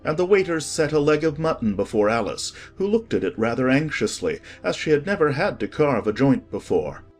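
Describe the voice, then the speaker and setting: An audiobook narrator reading a children's story in a measured, expressive scripted style. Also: mid-range voice, soft background music.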